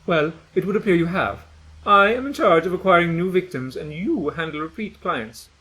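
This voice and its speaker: A man's voice: high-pitched, animated, normal speed, sharp tone, pitched above the performer's own voice, poor audio. A man voicing a bossy, sneering schoolgirl bully who speaks in a prim, over-polite way.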